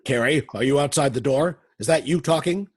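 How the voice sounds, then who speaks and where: An actor playing a gruff, bossy, crooked prison warden in a radio drama, curt, blustering and impatient. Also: male voice, mid-range voice, a little fast, close to an everyday voice. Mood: alarmed, blustering. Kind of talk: old-time radio drama acting.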